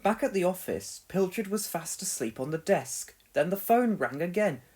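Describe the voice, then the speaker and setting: Read-along storyteller, mid-pitched, highly animated, expressive scripted delivery for young children. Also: moderate pace. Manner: expressive, clearly paced storytelling. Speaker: storybook narrator.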